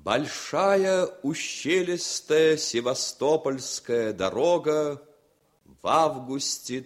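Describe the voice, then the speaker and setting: Man, radio narrator, measured, expressive, slow, lively intonation. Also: on the high side. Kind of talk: scripted literary narration for radio.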